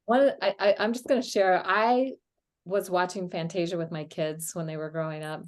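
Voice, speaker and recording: A woman's voice: lowish voice, steady tone, moderate pace. A woman hosting a conversation on astrology, talking casually with frequent small laughs and uh fillers. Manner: warm and laughing, with many uh fillers. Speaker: astrology podcast host.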